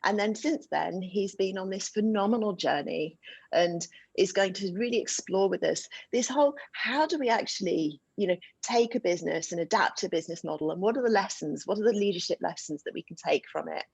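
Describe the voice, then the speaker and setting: A woman's voice: medium pitch, fairly quick, creaky, slightly rough, no echo. A woman hosting and moderating an online panel discussion, introducing the speakers in a chatty way with many fillers, heard over a video call. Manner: warm, chatty, with frequent um fillers. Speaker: host and moderator. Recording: dull lo-fi video call audio.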